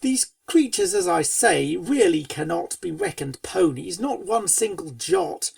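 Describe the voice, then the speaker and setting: A woman's voice: lowish voice, very animated, unhurried, gravelly, darkish tone, no echo, recorded from a distance, exaggerated voice. A woman playing a pony storyteller who tells a spooky storybook tale in verse to young foals, with dramatic, schoolteacherly delivery. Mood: spooky, theatrical. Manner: dramatic, schoolteacherly, chiding asides. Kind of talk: theatrical storybook narration in verse.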